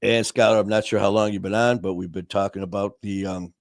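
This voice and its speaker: A man hosting a casual live stream, talking warmly to viewers in a rambling way with many fillers and restarts. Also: lowish voice, fairly even intonation, fairly quick, dry recording, studio quality. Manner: friendly and upbeat, addressing viewers. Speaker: livestream host.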